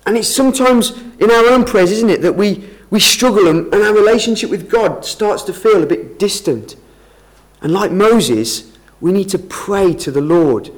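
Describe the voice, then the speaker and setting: Man, lay preacher, earnest Bible exhortation, animated intonation, somewhat high pitch, self-correcting phrasing. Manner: earnest, explanatory, with frequent restarts. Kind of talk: Bible talk to a congregation. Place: meeting room with an audience.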